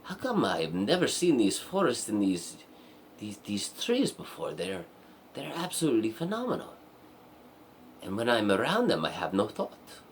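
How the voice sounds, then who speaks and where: A man's voice: fairly low pitch, wildly swooping pitch, measured pace, slight background noise. A man voicing a proud young princess with dramatic wonder in an improvised story. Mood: wonderstruck. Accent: put-on Spanish.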